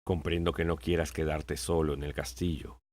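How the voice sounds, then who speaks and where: A man playing a wise, patient old king in an audiobook, speaking in a measured, sententious way. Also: extremely low pitch, flat delivery, a little mellow, no echo. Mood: kindly, resolute. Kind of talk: audiobook character acting.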